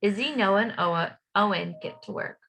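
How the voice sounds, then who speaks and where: A woman reading a children's storybook aloud as a playful young schoolboy narrator, expressive and rhythmic. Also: medium pitch, moderate pace, faint music behind. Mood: playful, dramatic.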